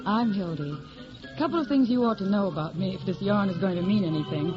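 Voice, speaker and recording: An actress playing a plucky, stubborn young singer in an old radio drama, earnest and confiding with a wry edge. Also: female voice, mid-range voice, expressive, fast talker, lightly acted. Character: plucky, stubborn young woman singer seeking showbiz work. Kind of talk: 1940s radio drama acting and narration. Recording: muffled old radio broadcast, music behind.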